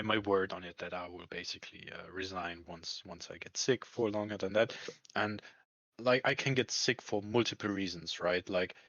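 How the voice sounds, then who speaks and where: Male, lowish pitch, hesitant conversational delivery, dull lo-fi room recording. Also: fairly bright tone. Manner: hesitant, halting, searching for words. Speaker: employee talking about a work contract. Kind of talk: casual conversation. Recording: muffled lo-fi, echoey room.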